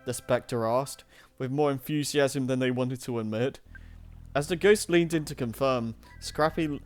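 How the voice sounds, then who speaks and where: A man of average pitch reads a story aloud to an online audience. He narrates in a casual storybook way and trips over words, correcting himself as he goes. Light music plays faintly behind him. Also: moderate pace. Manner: stumbles and self-corrects while reading. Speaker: narrator of a streamed fan-fiction reading.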